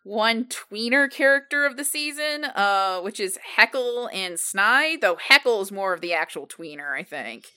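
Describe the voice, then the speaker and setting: Bright, smooth-voiced chatty woman. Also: medium pitch, animated, a little slow, few pauses. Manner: chatty, joking, full of fillers. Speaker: podcast co-host. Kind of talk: casual fan podcast chat.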